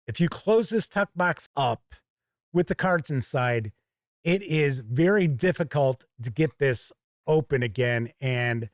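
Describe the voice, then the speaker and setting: A man's voice: on the high side, no echo, studio quality. A man giving a casual, informal product review as a host, explaining things in a conversational way. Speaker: tabletop game reviewer and show host.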